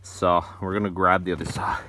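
A man's voice: sits low, little pitch movement, a little fast, pushed and bright, a little hiss or hum. A young man talking casually to the camera while cutting firewood outdoors in the woods, thinking aloud between jobs. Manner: chatty, thinking aloud between chainsaw cuts. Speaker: homesteader vlogger.

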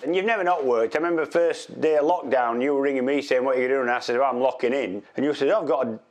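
A middle-aged man interviewing a friend in a home kitchen, friendly and quick with short agreeing interjections. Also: medium pitch, noisy background. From northern England. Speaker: interviewer, former racer. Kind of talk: casual chat.

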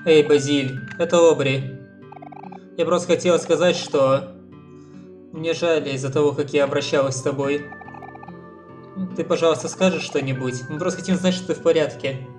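A man's voice: fairly high pitch, fairly even intonation, normal speed, pauses often, music in the background, natural voice. A man voicing a tough teenage girl in a dubbed game playthrough, reading earnestly. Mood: pleading.

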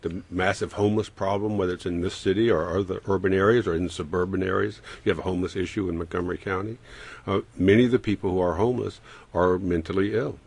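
A man's voice: low-pitched, steady tone, quick pace, creaky, darkish tone, breathy edge. A man giving conversational commentary as a panelist on a radio show.

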